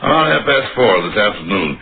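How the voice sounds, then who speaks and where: A man's voice: on the low side, sing-song, a little fast. An actor playing a blunt, self-important millionaire businessman being questioned in an old radio crime drama. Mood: rattled, blunt. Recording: muffled old radio recording, background chatter.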